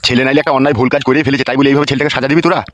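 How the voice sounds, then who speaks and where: Man playing a fast-talking, grumbling old father. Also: medium pitch, steady tone, dull audio, a little hiss or hum, close to an everyday voice. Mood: exasperated. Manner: gruffly dismissive, crude, exasperated. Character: weary, grumbling old father, male head of household. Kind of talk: comic Bengali cartoon dialogue.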